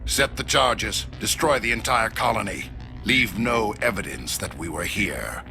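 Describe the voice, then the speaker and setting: An actor playing a ruthless alien warlord in a sci-fi game, cold and commanding, with slow, menacing delivery. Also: male voice, fairly low pitch, animated, creaky, music in the background. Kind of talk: cinematic sci-fi video game acting.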